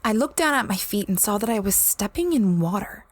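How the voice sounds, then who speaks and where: A woman's voice: mid-range voice, animated, fairly quick, slight background noise, lightly acted. A woman playing a space explorer who recounts a strange expedition in a conversational, first-person audio drama style. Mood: reflective, curious. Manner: reflective, conversational storytelling.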